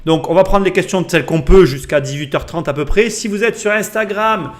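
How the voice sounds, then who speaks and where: A male host running a live interview, talking in a loose, chatty manner. He hesitates and restarts often and laughs easily. His voice sits a little higher than most men's. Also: fairly quick. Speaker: podcast host.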